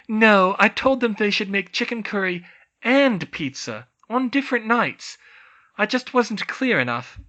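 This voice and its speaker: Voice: medium pitch, expressive, measured pace, bright, forceful tone, highs cut off, pitched above the performer's own voice. A reader voicing Hermione, an earnest, caring young witch. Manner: earnest, concerned.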